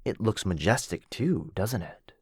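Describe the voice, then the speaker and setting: A man's voice: fairly low pitch, expressive, a little slow, husky, dry recording, studio quality, full character voice. A man playing a cocky, teasing werewolf. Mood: teasing flirty.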